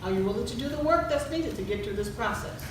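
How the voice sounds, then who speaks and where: A woman's voice: a little high, animated, close to an everyday voice. Echoey, composed counsellor played by a woman. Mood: composed, instructive. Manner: measured, probing, professional. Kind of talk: stage play dialogue. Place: large echoey indoor stage venue. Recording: very echoey, distant, background noise.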